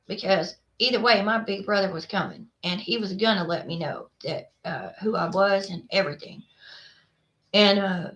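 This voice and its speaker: A woman's voice: medium pitch, fairly bright tone. A middle-aged woman from Texas with a Texan accent, chatting casually and frankly with lots of fillers and restarts. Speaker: vlogger. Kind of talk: casual chat.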